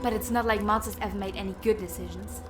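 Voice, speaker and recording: A woman's voice: medium pitch, steady tone, fast, music in the background, close to an everyday voice. A woman playing a contemptuous, domineering female villain in an audio drama, snapping out commands. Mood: scornful. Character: scornful, domineering female villain, hateful of monsters.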